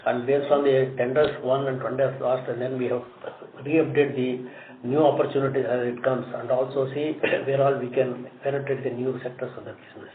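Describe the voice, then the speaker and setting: A man's voice: mid-range voice, flat delivery, normal speed, narrow, tinny audio. Man, Indian accent, executive on conference call, explanatory, phone-band sound, echoey room, slight noise. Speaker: company executive on an earnings call. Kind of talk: answering analyst questions on a conference call. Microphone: conference phone line.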